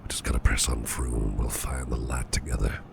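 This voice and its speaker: A man playing a caring, protective businessman boss in an ASMR roleplay, speaking in a soothing, reassuring, breathy half-whisper. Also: a bass voice, steady tone, brisk, background noise, full character voice. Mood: tender, reassuring. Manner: soothing, reassuring, affectionate, gently murmured. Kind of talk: soft ASMR roleplay, personal attention.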